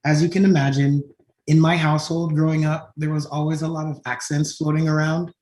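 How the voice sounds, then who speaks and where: A man's voice: on the high side, flat delivery, a little fast, a little mellow. A man presenting his company at a design conference, often ending points with a questioning "right?". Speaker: tech company founder presenting. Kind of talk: conference talk. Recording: roomy echo.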